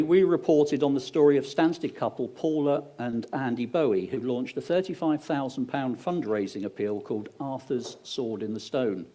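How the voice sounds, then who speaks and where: A man's voice: medium pitch, mellow. A man hosting an awards ceremony with an English accent, reading out sponsors with joking asides to the crowd. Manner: upbeat, joking asides to the audience. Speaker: awards host. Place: event venue on stage.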